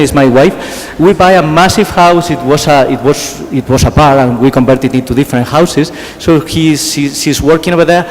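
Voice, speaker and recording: A middle-aged man from Spain with a strong Spanish accent, giving a conference talk in halting English, repeating words and putting questions to the audience. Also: a little high, a little hiss or hum. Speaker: conference speaker, physician. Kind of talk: conference presentation. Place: large conference hall. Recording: hall reverb, faint background ambience.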